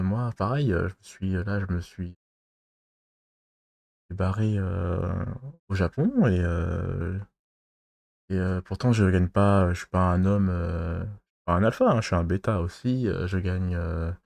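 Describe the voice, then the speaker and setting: A man from France giving advice in a casual monologue to his viewers, halting and rambling, pausing constantly and losing his thread. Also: low voice, vocal fry, a little mellow, no echo. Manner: loses his thread mid-talk. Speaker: vlogger giving dating advice.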